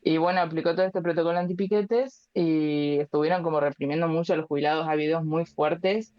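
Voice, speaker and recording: A woman in her twenties with an Argentine Spanish accent, a guest speaking casually and thoughtfully, with many pauses and fillers. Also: sits low, fairly even intonation, no room sound, dull audio. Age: 20s. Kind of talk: casual interview chat.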